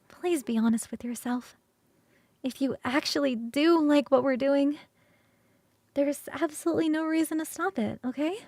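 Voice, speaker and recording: A woman voicing a playful, hungry and affectionate succubus roommate in an ASMR roleplay, coaxing and teasing with sighs and soft, breathy turns. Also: sits high, no echo. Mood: reassuring. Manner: coaxing, sighing, drawn-out savouring, shifting into soft whispers.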